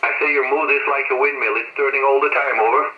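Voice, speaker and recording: A man with a Flemish accent speaking haltingly over an amateur radio link, repeating his phrases. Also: mid-range voice, fairly even intonation. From Belgium. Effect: amateur radio transmission. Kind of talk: radio contact chat. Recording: dull, echoey, noisy shortwave radio link.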